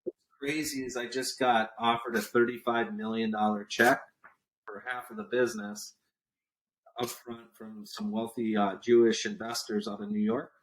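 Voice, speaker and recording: A man's voice: mid-range voice, flat delivery, normal speed, slight background noise, low-quality recording. Emphatic entrepreneur man in his forties. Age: 40s. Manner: emphatic, repeats figures for effect. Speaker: podcast guest, entrepreneur. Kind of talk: casual interview talk.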